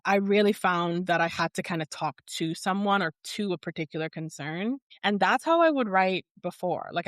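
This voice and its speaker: A woman's voice: medium pitch, fairly bright tone, dry recording. A woman from Barbados in her thirties, a therapist guest on a podcast, speaking thoughtfully and articulately with lots of "like". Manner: articulate, thoughtful, heavy use of "like". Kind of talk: reflective conversational interview.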